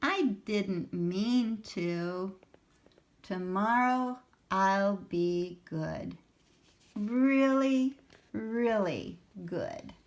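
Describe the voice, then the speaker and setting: A woman voicing an excitable, hungry little boy shark in a storybook read-aloud. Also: medium pitch, extremely slow. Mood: sheepish.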